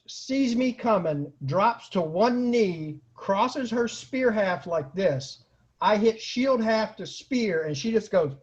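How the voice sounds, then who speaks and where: Male storyteller, high-pitched, casual and comic, acts out dialogue and noises, dull lo-fi recording. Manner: acts out quotes and sound effects, bursts into exclamations. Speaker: storyteller and host of an online story series.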